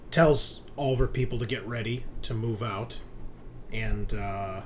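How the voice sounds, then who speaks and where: A man narrating a tabletop roleplaying game as game master, improvising with pauses. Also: on the low side, a little hiss or hum. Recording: music playing under the voice. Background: music.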